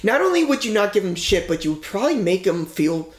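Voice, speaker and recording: A man's voice: high voice, sing-song, fast, echoey room. A man co-hosting a casual true-crime podcast, rambling with lots of fillers and swearing freely. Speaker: podcast co-host telling a true-crime case.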